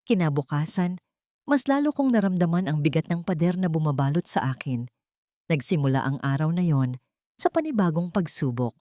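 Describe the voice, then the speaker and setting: Female story narrator, lower register, emotive and measured, close dry recording. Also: animated, dark tone, no echo. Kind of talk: dramatic first-person scripted narration.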